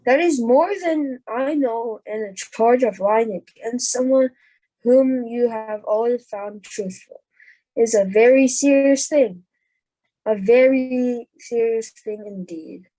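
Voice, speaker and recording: Lady doing a thoughtful professor for an amateur radio play, slow n deliberate, logical questions, dry close sound. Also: on the high side, mellow, no echo, low-quality recording. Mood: grave, deliberate. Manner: measured, questioning, emphatic. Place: indoors, on a group video call.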